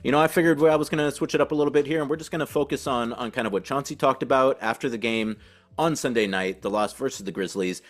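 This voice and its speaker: A man hosting a sports podcast with casual commentary, talking in a conversational, halting way and circling back to rephrase. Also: medium pitch. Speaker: podcast host and team beat reporter.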